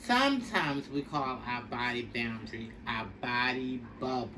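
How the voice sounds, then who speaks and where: A woman reading a picture book aloud for young children in a deliberate, instructive way. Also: extremely low pitch, lively intonation. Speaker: storybook reader. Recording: distant mic with faint background noise.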